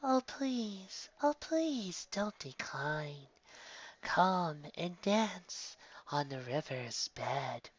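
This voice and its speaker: A narrator voicing eerie river-dwelling sea-pony creatures in a slow, haunting chant. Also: mid-range voice, very animated, no room sound, voice pushed up, exaggerated voice. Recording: dull lo-fi recording.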